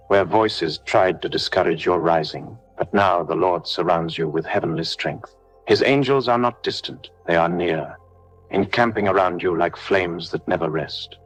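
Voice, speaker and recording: A man narrating a scripted devotional prayer, speaking solemnly in measured declarations straight to the listener. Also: low voice, rough recording. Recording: background music under the voice. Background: music.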